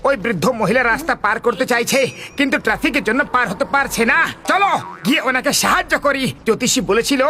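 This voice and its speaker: A man playing a naive, eager and helpful bumbler in an animated cartoon dub, speaking with earnest, exclamatory emphasis. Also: very high-pitched, brisk, slight background noise, exaggerated voice. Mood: cheerfully helpful.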